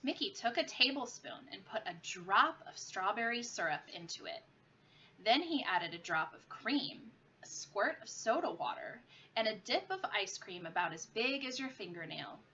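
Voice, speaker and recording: A woman's voice: mid-range voice, big pitch swings, moderate pace, sharp tone. A woman, a teacher, reading a children's story aloud expressively. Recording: muffled lo-fi with clear background noise.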